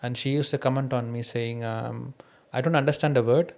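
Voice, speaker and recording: Male podcast host, Indian accent, non-native English, mid-pitched voice, self-questioning and candid in conversation. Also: fairly even intonation, brisk, creaky, a little mellow. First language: an Indian mother tongue, not English. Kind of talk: candid conversation, personal anecdotes.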